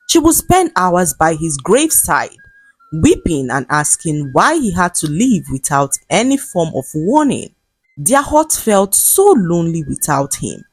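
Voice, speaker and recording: A woman narrating a scripted folktale in a measured, dramatic storybook manner. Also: on the low side, husky, dry recording. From Nigeria.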